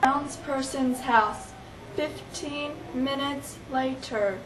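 A teenage girl reads scripted scene announcements as narrator. The delivery is extremely slow, in a fairly high voice. There is faint noise behind it. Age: young teen.

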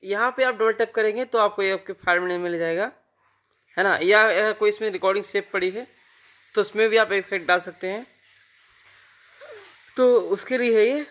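A man's voice: high-pitched, normal speed, pauses often, fairly bright tone. An Indian man with a sore throat, giving a casual app tutorial as the host.

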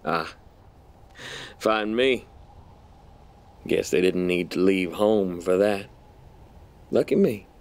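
Man acting as smug army general, low voice, mock-polite, insinuating menace, audio drama. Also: slow pace, long pauses. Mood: leering. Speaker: actor.

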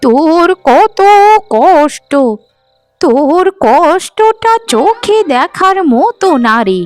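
A woman voicing a sly old great-aunt matchmaker in a cartoon, cajoling in a drawn-out sing-song. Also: extremely high pitch, expressive, faint music behind, exaggerated voice. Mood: coaxing, mock pity. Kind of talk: melodramatic Bengali cartoon dialogue.

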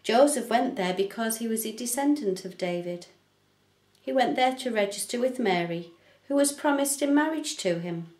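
A middle-aged woman minister reading scripture aloud for a church service in a measured, clearly enunciated way, recorded in a small living room. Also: on the high side, animated, a little mellow. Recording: roomy, echoing home recording.

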